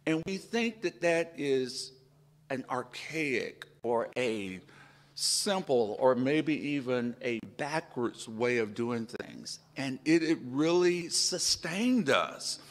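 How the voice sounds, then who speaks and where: Slow-speaking man in his fifties. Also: medium pitch, lively intonation, big-room sound. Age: 50s. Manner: reflective, quotes old hymn lines in a sing-song way. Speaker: workshop presenter. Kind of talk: informal talk to an audience.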